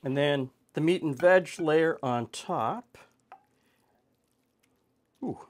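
A man's voice: a little high, drawn-out words, noisy background. A middle-aged man, hosting a casual home cooking show and chatting through the steps with easy fillers. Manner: relaxed, chatty, thinking aloud with fillers.

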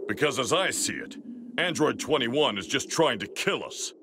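A man's voice: mid-range voice, animated, normal speed, noisy background, natural voice. An actor playing a stern, tough male alien warrior in an anime video game, blunt and threatening.

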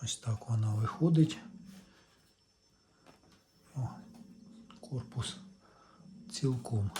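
Mellow-voiced man explaining hands-on repair. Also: medium pitch, a little hiss or hum. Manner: thinking aloud, unhurried step-by-step explaining. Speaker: hobbyist electronics repairer presenting a video. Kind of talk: casual hands-on repair walkthrough.

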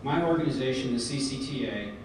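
A middle-aged American man giving an earnest prepared speech at a podium, often pausing on fillers and restarts. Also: mid-range voice. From United States. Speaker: convention speaker. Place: large hotel conference hall, indoors. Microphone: podium mic, filmed by a far camera. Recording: echoey hall from far off, background noise.

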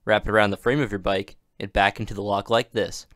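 A man giving scripted, even-toned narration for a product installation video, with steady instructional delivery. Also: fairly low pitch, quick pace, no room sound, studio quality.

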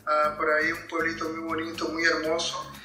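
A man's voice: a little high, completely flat delivery, moderate pace, pushed and bright. A man in his thirties with a Dominican accent, giving testimony as an interview guest over a video call, often repeating himself as he starts a thought. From Dominican Republic. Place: indoors at home, on a video call. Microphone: tablet video call. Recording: roomy video-call sound with music behind.

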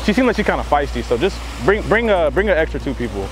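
A man's voice: on the high side, very animated, fast, noisy background. A young American man doing a casual fake phone call as a prank, talking in slangy, profane language. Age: young adult. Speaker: prankster host of a hidden-camera show. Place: outdoors on a campus walkway.

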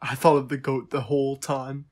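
A man's voice: medium pitch, little pitch movement, moderate pace, a little mellow, close to an everyday voice. A man playing a fond young crown prince. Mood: fond, playful. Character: affectionate, lighthearted young Russian crown prince. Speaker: actor in an audio drama. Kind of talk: dramatic reading of personal letters.

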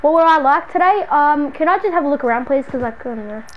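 A woman's voice: sits high, animated, quick pace, slight background noise, low-quality recording. A woman playing an exasperated, slangy city dweller hounded by a stalker friend in an improvised video game roleplay. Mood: chatty. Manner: slangy, muttering to self, then scolding.

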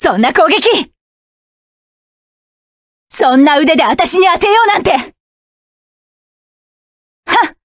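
Proud young female mech pilot, high-pitched, slow deliberate delivery, sharp commands, game voice clips. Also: lively intonation, dry recording, clean studio sound. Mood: cocky, commanding. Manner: curt, commanding, scornful battle barks. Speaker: voice actress. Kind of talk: game voice clip collection.

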